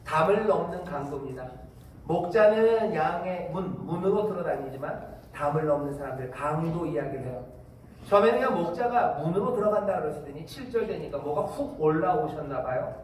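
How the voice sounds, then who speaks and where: Male pastor with an Incheon accent, conversational preaching, slightly high voice, heard from across a very echoey church hall with faint hiss. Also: lively intonation, brisk. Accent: Korean, Incheon. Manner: conversational preaching with fillers and asides. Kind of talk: sermon. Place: church sanctuary. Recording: echoey hall, distant mic, faint noise.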